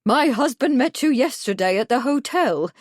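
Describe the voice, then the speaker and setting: A woman voicing a bustling, shrill, strident committee lady who talks in a brisk, impatient way. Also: lively intonation, no room sound, studio quality.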